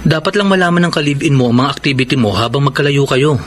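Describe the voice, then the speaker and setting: A man's voice: mid-range voice, fast talker, slight background noise, natural voice. Actor as an earnest, admonishing Filipino male friend. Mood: reproachful concern. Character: concerned, slightly jealous male best friend. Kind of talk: radio drama acting.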